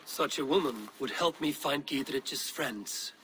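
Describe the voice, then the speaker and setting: An actor playing a bold, blunt Viking warrior in a dramatic game cutscene, speaking in a direct, terse way. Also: male voice, mid-range voice, a little slow, a bit bright, a little hiss or hum, natural voice, rough recording. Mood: firm, persuasive.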